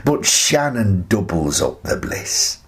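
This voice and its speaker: An actor playing a wry, contented older recluse who muses in a chatty, gently amused way in a radio play monologue. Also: male voice, low voice, very animated, slow, heavily acted. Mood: fond.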